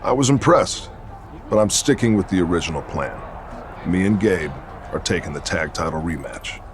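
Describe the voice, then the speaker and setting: An actor playing a stern, commanding veteran wrestler who leads a faction in a video game, talking curtly and with authority. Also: male voice, low voice, lively intonation, normal speed, background noise. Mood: insistent. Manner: curt and authoritative. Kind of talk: scripted video game cutscene acting.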